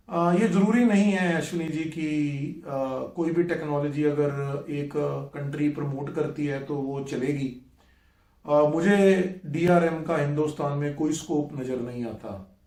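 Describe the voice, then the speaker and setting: High-voiced insistent man in an echoey room. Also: darkish tone. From India. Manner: insistent, repeats points emphatically; mixes English words into Hindi. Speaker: radio enthusiast video host. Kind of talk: impassioned direct-to-camera appeal.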